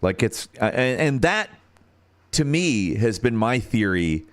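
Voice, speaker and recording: A man with a deep radio-style voice and an American accent, hosting a casual livestream and thinking out loud as he searches for words. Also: big pitch swings, slow. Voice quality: turns nasal when singing high.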